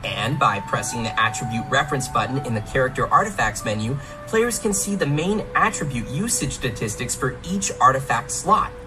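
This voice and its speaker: A man's voice: medium pitch, expressive, moderate pace, few pauses. Male presenter over prominent background music. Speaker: game livestream presenter. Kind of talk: scripted announcement presentation. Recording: music playing behind the voice.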